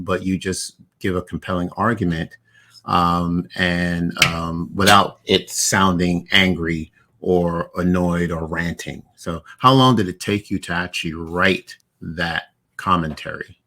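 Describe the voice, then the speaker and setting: A male podcast host with a very deep voice and a bright, forceful edge to his tone. He asks questions in a measured way, pausing with fillers as he works out his words. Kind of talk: casual interview questions.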